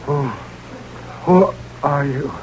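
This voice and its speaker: An actor playing a weak, dazed American army lieutenant in an old radio drama, halting and weary. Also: male voice, on the high side, fairly even intonation. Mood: dazed, pleading. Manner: halting, weary, repeating words. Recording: noisy, humming vintage radio recording.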